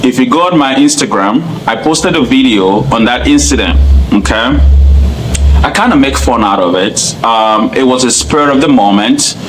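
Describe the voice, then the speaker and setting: A man's voice: medium pitch, animated, normal speed, fairly bright tone, a little hiss or hum, poor audio. A man from Cameroon with a Cameroonian accent, English not his first language, answering interview questions earnestly and point by point. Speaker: guest.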